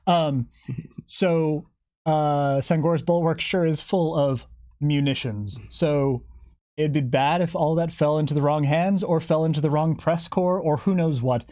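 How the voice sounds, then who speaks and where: A man's voice: fairly high pitch, unhurried, darkish tone, dry recording, clean studio sound. A man chatting unscripted as a guest explaining game lore, with a wry, playful manner.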